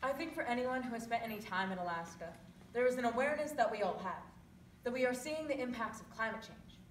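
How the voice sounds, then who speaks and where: A woman playing a measured, reasonable senator giving formal testimony in a stage readers theatre piece. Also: on the high side, animated, close to an everyday voice. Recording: echoey room, distant mic, background hiss.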